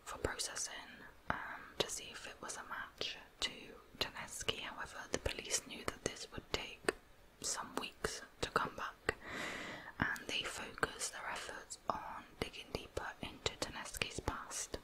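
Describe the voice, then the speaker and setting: A woman whispering a true crime account in an ASMR style, in a soft, measured, explanatory manner. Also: rough recording. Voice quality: whispered, unvoiced.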